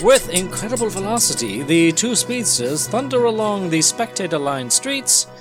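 Lively, jokey man reading dramatically. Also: high-pitched, moderate pace. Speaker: host and narrator of a comedy show. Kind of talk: comic dramatic reading mixed with casual banter. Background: music.

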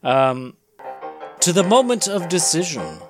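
A man's voice: fairly high pitch, huge pitch swings, unhurried. A man hosting and narrating a comic book dramatic reading in a playful, theatrical way, slipping into casual chat. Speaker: podcast host and narrator. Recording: music behind the voice.

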